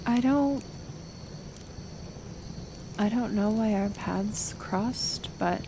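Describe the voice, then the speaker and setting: Slow-spoken gentle woodland healer woman played by an actress. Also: mid-range voice, noisy background. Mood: tender. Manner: soft, hesitant, soothing, with unhurried pauses. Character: gentle, stubbornly kind woman healer living in the woods. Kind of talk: roleplay audio acting, intimate one-sided dialogue.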